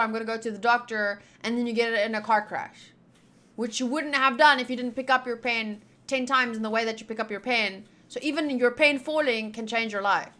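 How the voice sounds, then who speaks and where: A woman's voice: fairly high pitch, pushed and bright. A young woman streaming a game playthrough, casually reading dialogue aloud and drifting into playful, rambling asides.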